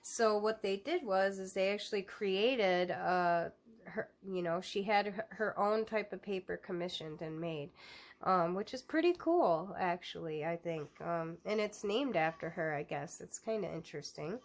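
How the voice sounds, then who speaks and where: Slow-talking woman with a stuffy cold. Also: lowish voice. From United States. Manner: chatty, with frequent ums and restarts. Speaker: coloring book reviewer. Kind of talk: casual book flip-through.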